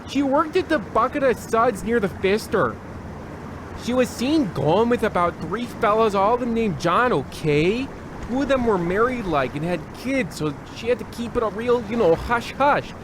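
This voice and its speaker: A man's voice: very high-pitched, lively intonation, moderate pace, background noise. A man playing a cagey, slippery small-time informant at the docks in a comic radio play. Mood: panicked.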